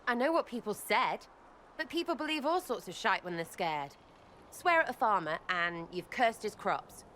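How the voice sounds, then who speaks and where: Woman voicing brash young game archer, British accent, sharp bright voice, slangy, restless, faint ambience. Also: medium pitch, expressive, normal speed, slight background noise, natural voice. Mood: cheeky. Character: brash, irreverent, scrappy young female archer. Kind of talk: video game dialogue acting.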